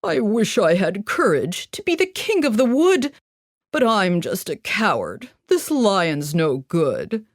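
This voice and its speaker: A woman's voice: mid-range voice, big pitch swings, takes it slowly, dry recording, studio quality. A woman voicing a timid, self-pitying cowardly lion in a children's storybook, speaking in a fearful, faltering way. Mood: fearful, dejected.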